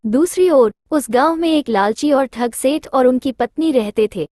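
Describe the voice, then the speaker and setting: A woman's voice: sits high, moderate pace, warm tone, faint music behind. A woman narrating a scripted children's moral story.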